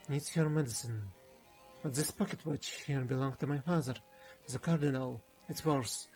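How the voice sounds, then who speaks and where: A man's voice: on the high side, darkish tone, lightly acted, rough recording. A man playing a sly, suave aristocratic swindler in a theatrical period game fandub. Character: smooth, sly aristocratic male swindler, a Comte.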